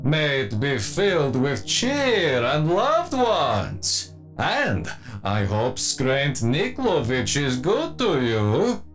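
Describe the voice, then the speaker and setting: A man's voice: mid-range voice, huge pitch swings, very slow, a bit bright, soft background music, full character voice. A man playing a theatrical alien villain father, doting and lecherous, in a comedic audio drama with a rambling delivery. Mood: chatty, grateful.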